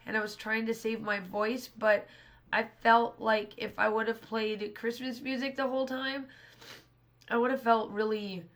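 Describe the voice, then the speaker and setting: Woman with a hoarse, scratchy voice. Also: a little high, flat delivery, a little slow, a bit bright. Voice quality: rambling, restarts often. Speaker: scrapbooking channel host. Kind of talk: casual voice-over narration of a craft process.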